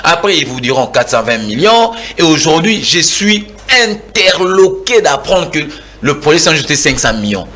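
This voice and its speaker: A man's voice: a little high, big pitch swings, moderate pace, sharp tone. A man in his forties with a Congolese French accent, arguing heatedly as a guest in a televised political debate, repeating words as he presses his point. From Kinshasa, DR Congo.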